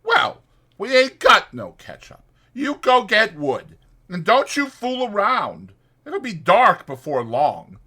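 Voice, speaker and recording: A man's voice: sits high, huge pitch swings, takes it slowly, bright, forceful tone, husky, voice pushed up, full character voice. A man voicing an impatient, bossy but caring ranch hand who talks in a brusque rural American vernacular in an audiobook. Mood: stern, demanding.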